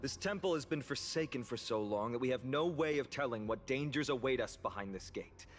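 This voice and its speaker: A man's voice: mid-range voice, animated, fairly quick, lightly acted. An actor playing an earnest, determined young male hero in a fantasy video game, speaking articulately and theatrically. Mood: wary, determined. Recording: long reverb, faint background noise.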